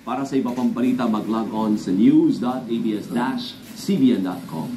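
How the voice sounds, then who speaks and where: Male Filipino news anchor for a broadcast sign-off; roomy sound, clear ambient noise. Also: mid-range voice, normal speed.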